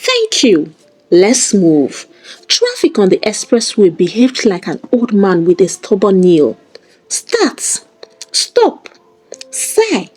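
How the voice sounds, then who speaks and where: A woman narrating a dramatic scripted story in an expressive, deliberate storytelling manner. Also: medium pitch.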